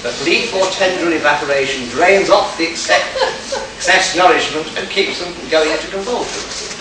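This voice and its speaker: Distant noisy recording of a guy doing a pompous excitable scientist lecturing in a pulp sci-fi radio play. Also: fairly high pitch, moderate pace, close to an everyday voice. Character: arrogant, excitable male botanist genius lecturing on the moon. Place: live convention performance, recorded from afar. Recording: distant echoey room, clearly noisy.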